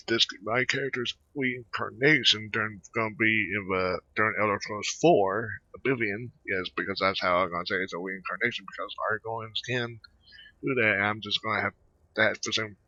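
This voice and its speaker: Low, sharp-voiced man commentating a game. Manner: halting, rambling delivery. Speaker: let's player. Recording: dull lo-fi microphone.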